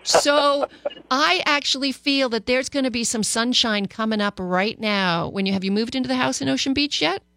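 A woman hosting a radio call-in show as a psychologist, giving animated, encouraging advice. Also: sits high, normal speed, dry recording. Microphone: studio microphone.

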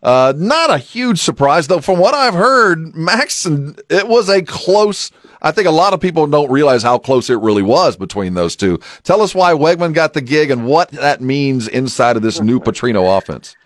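A man's voice: a little high, lively intonation, normal speed, dry recording, studio quality. A man hosting a sports radio show, introducing the guest and putting questions in a conversational broadcast style.

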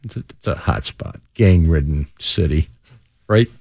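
A man's voice: a bass voice, animated, unhurried, gravelly, a little mellow, no room sound, studio quality. A man co-hosting a talk radio show, joking his way through crime news with rhetorical asides. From Ireland. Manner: wisecracking, rhetorical "right?" and "okay?".

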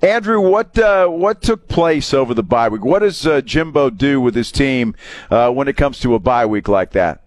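A man's voice: medium pitch, expressive, moderate pace, dry recording. A man co-hosting sports radio in casual, chatty talk. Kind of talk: casual chat.

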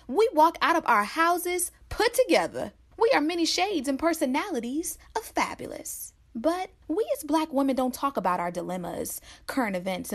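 High, proud woman reading an intro. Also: fairly bright tone. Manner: declarative, proud, measured. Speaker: podcast intro narrator. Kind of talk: scripted intro narration.

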